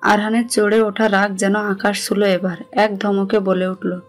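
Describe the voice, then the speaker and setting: A woman's voice: medium pitch, flat delivery, normal speed. A woman with a Bangladeshi accent reading a scripted romantic story as its narrator. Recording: music bed behind the voice.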